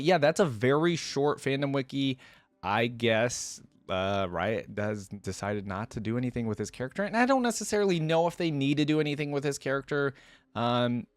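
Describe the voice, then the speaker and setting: A man's voice: mid-range voice, no echo. A man hosting a reaction video, reading aloud and commenting in an informal, chatty way.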